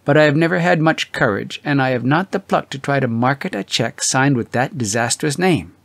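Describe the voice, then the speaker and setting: A man's voice: medium pitch, lively intonation, a little fast, dry recording, natural voice. A man voicing an anxious, weary older husband in an audiobook, fretful and easily shaken. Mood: uneasy. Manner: agitated, exclaiming, muttering.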